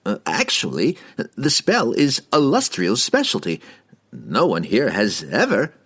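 A man's voice: on the high side, wildly swooping pitch, moderate pace, a bit bright, pitched above the performer's own voice, exaggerated voice. Man playing a flustered, stammering wizard. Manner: stammering, hesitant, protesting. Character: flustered, stammering, timid male wizard, easily cowed. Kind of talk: fantasy audiobook character voice.

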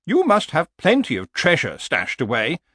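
A nagging, sensible horse, voiced by a man reading a story, pitched well above an everyday male voice and swinging widely in tune. It pleads and reasons plaintively, like a worried travelling companion, in a dry, close recording. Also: huge pitch swings, normal speed, a bit bright, no room sound, full character voice. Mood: nagging, coaxing.

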